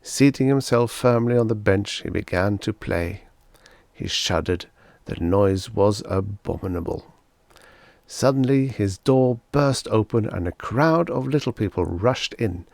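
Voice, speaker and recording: A man's voice: lowish voice, expressive, a little slow, gravelly, a little mellow, no room sound. A man reading a scripted short story aloud as an audiobook narrator.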